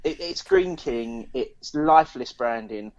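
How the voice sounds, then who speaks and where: A man's voice: mid-range voice, wildly swooping pitch, unhurried, gravelly, dull audio, crowd noise. A British man co-hosting a casual podcast chat, speaking in an opinionated, emphatic way. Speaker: podcast co-host.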